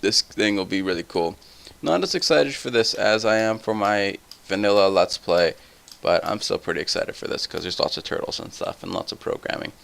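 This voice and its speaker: A tired young man with a somewhat deep voice, rambling through casual gameplay commentary full of tangents. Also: moderate pace, vocal fry, few pauses, fairly bright tone. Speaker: let's play host.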